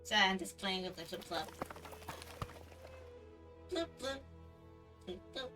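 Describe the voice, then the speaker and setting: A woman's voice: medium pitch, animated, slow. A woman chatting casually on a game livestream, reacting with cutesy little interjections. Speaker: VTuber game streamer. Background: game music.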